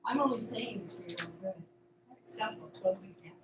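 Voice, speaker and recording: A woman's voice: medium pitch, brisk, natural voice. A woman playing an anxious, secretive young farm woman in an amateur radio drama, speaking with urgent, emphatic insistence. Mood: warning, secretive. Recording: roomy, distant mic, noisy background.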